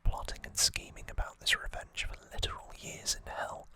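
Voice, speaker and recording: An ASMR host speaking in a soft, breathy, unvoiced whisper, explaining things in a gentle, hesitant way as in a relaxed recap. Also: normal speed.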